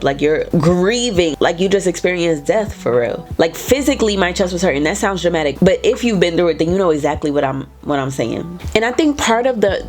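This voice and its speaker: A woman's voice: fairly low pitch, a little mellow. A young adult woman hosting her own show, talking earnestly and emphatically to viewers, a little nasal from a cold. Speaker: podcast host. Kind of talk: casual reflective talk to viewers.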